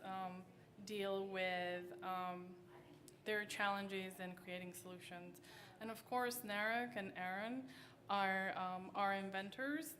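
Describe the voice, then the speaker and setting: A woman presenting her team's startup pitch at an event, soft-spoken and hesitant, with many pauses and fillers. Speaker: startup team member pitching. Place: event hall.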